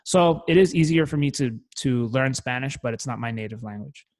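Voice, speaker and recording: A man's voice: medium pitch, a little fast. A young man in casual chat, talking loosely with frequent swearing, fillers and restarts. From Italian family, parents from Naples. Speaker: guest marketer.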